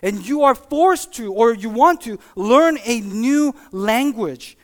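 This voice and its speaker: A preacher giving a conversational sermon with personal stories, talking in a halting, informal way and often checking in with listeners. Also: medium pitch, animated. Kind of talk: conversational sermon with personal anecdotes and acted-out examples.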